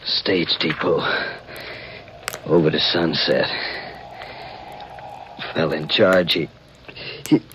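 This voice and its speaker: A man playing a wounded, boastful outlaw in a 1950s radio western, breathy and halting, with a rural American drawl. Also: extremely low pitch, steady tone, fairly bright tone, a little hiss or hum, full character voice. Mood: boastful, weary. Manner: halting, laboured, folksy drawl. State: weak, gravely wounded, acted. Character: dying, boastful male outlaw, stubborn and desperate. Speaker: supporting actor in a radio western. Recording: dull vintage radio recording, music behind.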